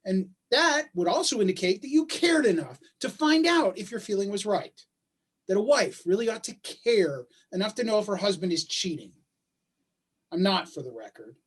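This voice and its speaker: A man playing a bitter, sarcastic husband in a staged play reading, with a husky voice, recorded over a video call. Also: high voice, big pitch swings, normal speed, full character voice. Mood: bitter sarcasm. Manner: sarcastic, needling. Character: bitter, sarcastic husband caring for a sick child.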